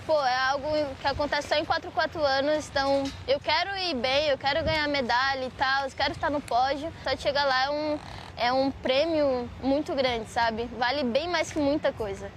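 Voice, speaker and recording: A woman's voice: high-pitched, moderate pace, a little hiss or hum. Young woman athlete speaking candidly. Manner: casual, candid, uses fillers like sabe. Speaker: athlete interviewee. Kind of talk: interview answers.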